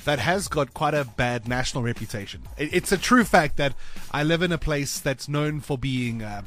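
A South African man hosting a breakfast radio show, chatting in a lively, expressive way and laughing easily. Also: fairly high pitch, normal speed. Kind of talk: casual radio chat. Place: radio studio. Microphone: studio microphone.

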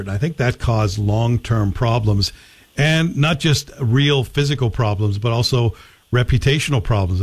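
Male morning radio interviewer, Canadian accent, average pitch, conversational. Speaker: morning radio show host.

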